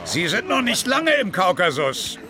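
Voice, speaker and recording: An actor playing a gruff, blunt and contemptuous Russian army veteran of about fifty in a radio drama. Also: male voice, high voice, very animated, a little slow, over a noisy crowd. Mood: scornful, worldly-wise.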